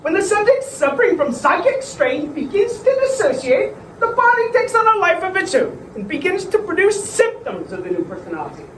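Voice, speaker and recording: A woman's voice: very high-pitched, sing-song, a little fast, few pauses. A woman playing a pompous, scornful male sceptic investigator in a theatrical comedy, condescending and prone to exclaiming. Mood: dismissive. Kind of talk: theatrical comic drama. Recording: echoey, distant, faintly noisy room recording.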